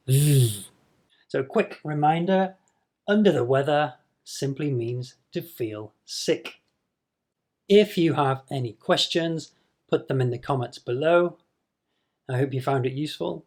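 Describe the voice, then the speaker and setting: Slow, patient male English teacher. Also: mid-range voice, darkish tone. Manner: patient, demonstrates sounds slowly and repeats them. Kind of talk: slow explanatory video lesson to camera.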